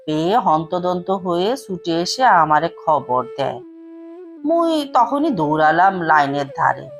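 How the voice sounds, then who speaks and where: A woman's voice: fairly low pitch, very animated, unhurried, faint music behind, voice pushed down. A woman voicing an elderly village headman in an audio story, respectful and hesitant, speaking in rustic dialect. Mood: anxious, respectful.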